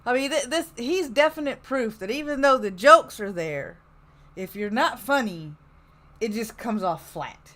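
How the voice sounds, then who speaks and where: A woman's voice: on the high side, lively intonation, measured pace, sharp tone. A woman hosting a podcast in a casual, chatty way, with sarcastic heckling and some mild swearing. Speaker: podcast host. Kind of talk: casual live chat commentary on old radio shows.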